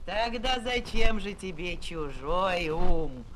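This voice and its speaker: Old aunt hare: knowing, stern, teasing; drawn-out pacing, animated intonation; children's radio play on a noisy old disc. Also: female voice, lowish voice. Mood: wise, approving. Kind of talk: children's audio drama acting. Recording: noisy 1970s flexi-disc record.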